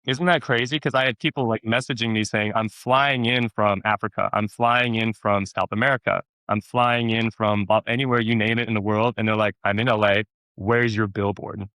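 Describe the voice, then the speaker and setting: A man chatting casually as an interview guest, telling stories in an animated way, repeating words for emphasis and acting out quotes. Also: lowish voice, moderate pace, no echo. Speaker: actor being interviewed.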